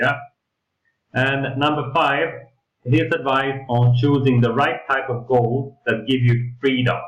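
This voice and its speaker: A man's voice: medium pitch, fairly bright tone, roomy sound, poor audio. A man from Sweden co-hosting a coaching webinar, talking casually with many fillers and checking in with "yeah?". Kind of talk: casual presentation.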